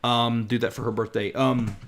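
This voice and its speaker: Casting: easygoing male podcast host, mid pitch, plain conversational delivery. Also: normal speed. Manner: relaxed, conversational, reads listener questions. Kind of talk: casual chat.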